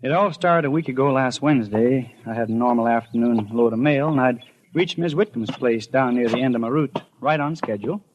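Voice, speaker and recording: A man's voice: medium pitch, a little fast, slight background noise, lightly acted. Actor as a folksy, earnest small-town postman, dull old recording. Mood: confiding, sheepish. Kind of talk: 1950s radio acting, first-person storytelling and dialogue.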